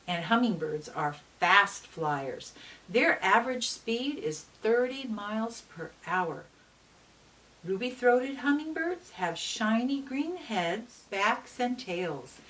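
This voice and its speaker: Slow-paced woman teacher reading aloud, slightly distant. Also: medium pitch, a bit bright. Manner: deliberate, instructive phrasing with emphasis on key words. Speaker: read-aloud teacher and host. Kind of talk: educational read-aloud for children with explanatory commentary. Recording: distant mic with faint hiss.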